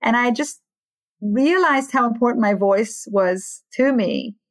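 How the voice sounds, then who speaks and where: A woman's voice: on the high side, unhurried, no room sound. A woman from Romania, an author and academic, telling her life story as a podcast guest. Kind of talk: personal storytelling in interview.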